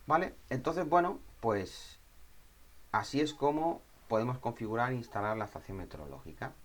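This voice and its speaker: A man giving an informal software tutorial, explaining step by step, repeating himself and checking in with short tag questions. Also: medium pitch, lively intonation, moderate pace. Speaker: tutorial instructor.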